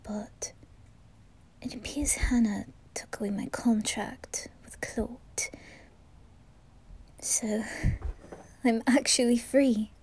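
A woman's voice: on the high side, steady tone, exaggerated voice. Woman voicing a tender, whispery wounded teenage boy. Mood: tender, relieved. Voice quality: soft, breathy, often whispering. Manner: hesitant, tender, halting. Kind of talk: ASMR roleplay.

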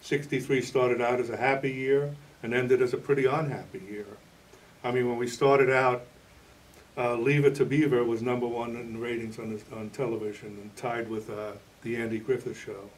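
An older man in his sixties speaks as an interview guest, explaining in a measured, halting way. His voice sits at an ordinary male pitch, picked up a little away from the microphone. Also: level tone, distant mic, poor audio. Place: indoor TV studio, green screen.

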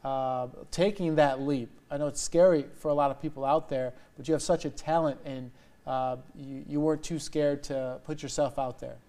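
A man's voice: fairly high pitch, steady tone, moderate pace, mellow. An American man in his thirties hosting a conversational morning news interview in a TV studio. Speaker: TV news anchor interviewing a guest.

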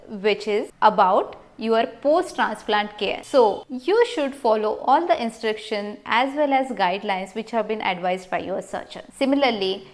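Indian-accented woman doctor explaining to camera. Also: on the high side. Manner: measured, instructive, enumerating points. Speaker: doctor, cosmetic surgeon presenting. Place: small clinic room, indoors.

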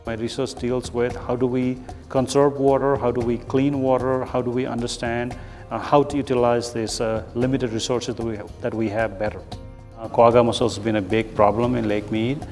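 A middle-aged research professor from Nepal, giving an earnest, explanatory documentary interview with many fillers, on a lapel mic in a large open office. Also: male voice, mid-range voice, flat delivery, moderate pace, darkish tone, in a large hall, faint music behind.

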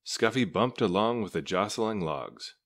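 A man reading a children's story aloud as narrator, with measured, deliberate phrasing. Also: on the low side, expressive, fairly bright tone. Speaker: storybook reader.

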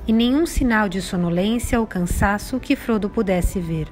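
A woman's voice: mid-range voice, moderate pace, darkish tone, soft background music. A woman with a Brazilian accent giving a scripted storybook narration.

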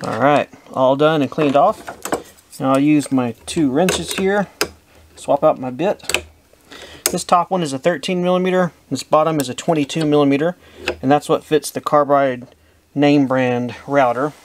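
A man in his thirties with a voice pitched a bit above the usual male range. He talks through a workshop task in a relaxed, plainspoken way, thinking out loud as he goes, recorded close-up in a home woodshop. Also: moderate pace, a little hiss or hum. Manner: easygoing, plainspoken, thinks aloud. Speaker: woodworking channel host. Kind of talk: casual how-to narration.

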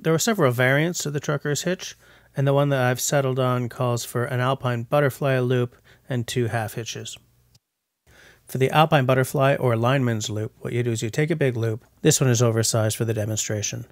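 Gravelly, joking male vlogger. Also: medium pitch, a little fast, no room sound, clean studio sound. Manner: jokey, self-mocking, chatty. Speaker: bushcraft vlogger. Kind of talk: casual voice-over vlog. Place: voice-over, not recorded outdoors. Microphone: voice-over mic.

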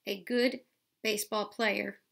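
A woman's voice: mid-range voice, steady tone, slow pace, creaky, fairly bright tone, exaggerated voice. A woman voicing a curious, hungry little boy who tells jokes, asking eager questions in a storybook.

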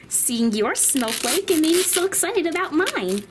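A woman voicing an excitable, bossy toddler girl doll in chatty, exclaiming doll play for children. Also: very high-pitched, slow pace, background noise, heavily acted. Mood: excited, curious.

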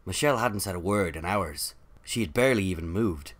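Low-pitched male narrator, an actor, dry and put-upon, telling an office story for audio drama. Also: expressive, fairly quick, fairly bright tone. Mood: wry. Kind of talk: scripted first-person narration.

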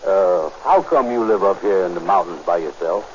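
An actor playing a self-important, easygoing, slow-witted man in 1930s radio comedy, talking in folksy, ungrammatical phrases. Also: sits low, lively intonation. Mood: respectful, easygoing. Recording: muffled, hissy 1930s radio recording.

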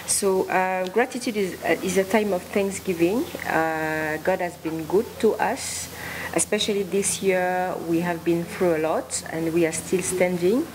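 Woman, gospel singer at a press table, slow deliberate answers, lower voice, noisy room. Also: steady tone. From West Africa. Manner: slow, thoughtful, frequent fillers and laughs. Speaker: gospel singer hosting a concert. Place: press conference room, indoors. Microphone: table microphone.

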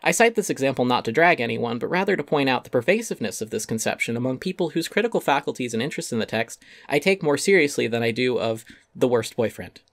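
A man's voice: mid-range voice, lively intonation, quick pace, few pauses, a bit bright, dry recording, clean studio sound. A man giving an articulate, emphatic and wry scripted reading of an essay as its narrator.

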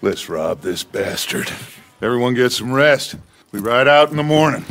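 An actor playing a charismatic middle-aged outlaw gang leader in a video game, with an American accent and a deliberate, commanding delivery. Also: male voice, medium pitch, expressive, creaky, fairly bright tone, a little hiss or hum. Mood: grave, measured. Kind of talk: dramatic video game acting.

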